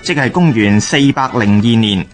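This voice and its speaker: A man's voice: fairly low pitch, expressive, moderate pace. A man narrating a historical radio drama in a scripted, formal style. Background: music.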